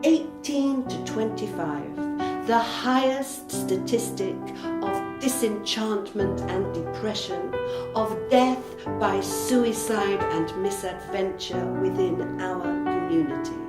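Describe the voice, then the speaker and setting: Older northern English woman, unhurried reflective delivery; roomy sound, loud music bed. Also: medium pitch. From north of England. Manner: slow, measured, poetic phrasing. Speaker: interviewee and narrator. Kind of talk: reflective spoken recollection.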